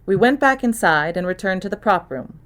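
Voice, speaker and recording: A woman reading a first-person mystery novel aloud as an expressive, scripted audiobook narration. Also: mid-range voice, moderate pace.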